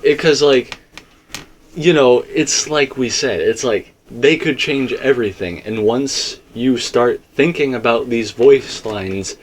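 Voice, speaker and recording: A man's voice: mid-range voice, slow, a little hiss or hum. A man in a casual, rambling fan discussion, repeating himself for emphasis as he co-hosts. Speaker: co-host discussing video game theories.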